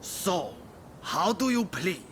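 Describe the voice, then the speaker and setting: A man's voice: high-pitched, very animated, slow, a bit bright, a little hiss or hum, exaggerated voice. A man playing a stern, imperious shogunate official in a video game, declaiming harshly and with command. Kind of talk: dramatic video game cutscene acting.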